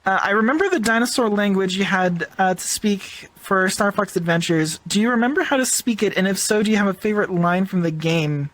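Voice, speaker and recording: Casual moderator reading fan questions. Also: female voice, mid-range voice, rough recording. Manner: casual, drawn-out, fillers like "um". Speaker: panel moderator. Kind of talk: moderating a panel.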